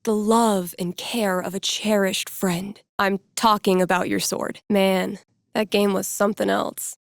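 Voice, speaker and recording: A wry young woman thinks aloud as a video game character working in a record store. Her delivery is casual and conversational, with dry sarcasm and a nerdy, playful streak. The pitch sits in an ordinary middle range for a woman, and the sound is close and dry, free of room echo. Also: flat delivery, unhurried, gravelly. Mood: dramatic, playful, then upbeat, playful. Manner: casual, dryly sarcastic, with sighs and playful asides.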